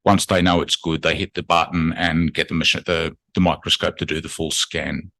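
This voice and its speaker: A man in a conference audience asking a speaker technical questions, halting and restarting as he goes. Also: extremely low pitch, flat delivery, creaky, bright, forceful tone. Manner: halting, restarts with filler words. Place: conference room.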